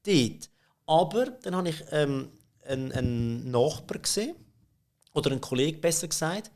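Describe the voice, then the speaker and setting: A man's voice: a little high, expressive, a little fast, darkish tone. A middle-aged man with a Swiss German accent, telling stories in a casual podcast chat, with frequent laughs and filler words. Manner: chatty, laughs often, many fillers and restarts. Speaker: podcast guest, company CEO. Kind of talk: casual conversational storytelling.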